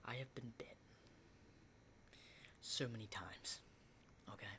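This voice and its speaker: A man playing an unsettling, lonely, hardened apocalypse survivor in an ASMR roleplay, speaking in a halting, breathy whisper and soft voice. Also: medium pitch, fairly even intonation. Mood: fearless, reassuring. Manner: hushed, halting, repeats words, mild swearing.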